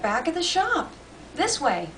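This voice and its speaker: A woman voicing a courteous old gentleman who sells antiques, with measured, polite sales patter in an audiobook reading. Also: high voice, wildly swooping pitch, pitched above the performer's own voice, heavily acted. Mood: courteous, pitching. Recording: distant mic, faint room noise.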